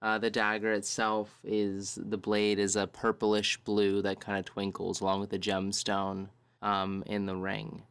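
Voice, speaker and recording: A man playing a tabletop role-playing game, describing his character's actions in a measured, thoughtful way. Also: fairly low pitch, monotone, fairly bright tone, smooth. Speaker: tabletop role-play player.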